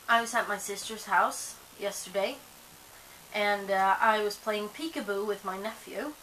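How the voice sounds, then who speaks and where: A woman's voice: medium pitch, unhurried, pushed and bright, low-quality recording. A young woman whose first language is Swedish, chatting casually to camera, rambling with lots of fillers and restarts, a bit sleepy. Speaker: vlogger. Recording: faint hiss.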